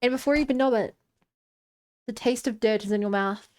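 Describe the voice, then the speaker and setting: A woman's voice: fairly high pitch, a little fast, a little mellow. A woman in her twenties streaming a game, rambling and joking as she talks to her chat. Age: 20s. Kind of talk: casual gameplay commentary.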